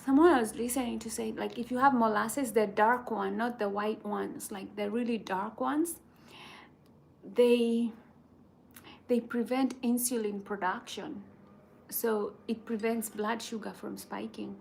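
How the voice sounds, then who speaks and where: A woman chats casually in a soft voice with a noticeable creak. She takes her time, rambling and repeating phrases as she goes. There is slight noise behind her. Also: a little high, steady tone, unhurried. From Kenya. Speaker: vlogger chatting with viewers. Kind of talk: casual chat.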